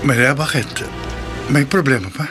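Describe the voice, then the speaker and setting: An actor playing a heartbroken Filipino husband under an enchantress's spell, in a radio horror drama. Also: male voice, medium pitch, normal speed, music in the background, close to an everyday voice. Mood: tender, submissive.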